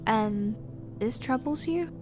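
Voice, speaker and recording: A composed, formal queen from an audio drama, voiced by a woman. Her voice sits fairly high and her lines come slow and measured. Soft music plays underneath. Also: lively intonation. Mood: regal, concerned. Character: reserved young woman, later a composed queen.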